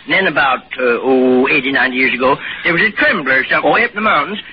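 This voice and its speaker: An actor playing a genial, trusting small-town husband with a rural American accent, rambling in homespun fashion in a 1940s radio drama. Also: male voice, mid-range voice, natural voice. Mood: folksy, reminiscing. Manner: homespun, drawn-out storytelling. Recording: muffled, faintly noisy 1940s radio broadcast.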